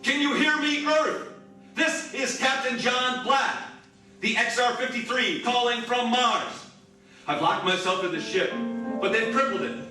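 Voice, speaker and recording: A man acting a desperate, high-voiced, echoing spaceship captain. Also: unhurried, pushed and bright, exaggerated voice. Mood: desperate, pleading. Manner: dramatic, pleading, repetitive calling. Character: desperate male spaceship captain trapped on Mars. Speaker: actor. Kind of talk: classic sci-fi radio theatre acting. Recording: very echoey, distant, music behind.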